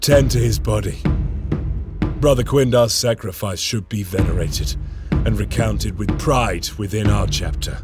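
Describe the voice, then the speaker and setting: An actor playing a stern veteran space marine lieutenant in a game cutscene, grave and solemn. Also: male voice, on the low side, unhurried. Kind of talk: video game cutscene acting. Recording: game audio over music.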